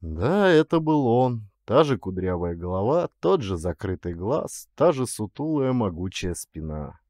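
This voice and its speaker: A man reading literary prose as an audiobook narrator, in an expressive, theatrical manner. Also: fairly low pitch, measured pace, dry recording, clean studio sound.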